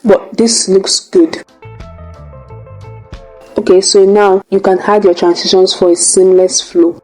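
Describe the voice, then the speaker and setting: A woman's voice: medium pitch, level tone, slow pace, darkish tone, soft background music. A woman hosting a tutorial, narrating step by step in a casual way. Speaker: tutorial host.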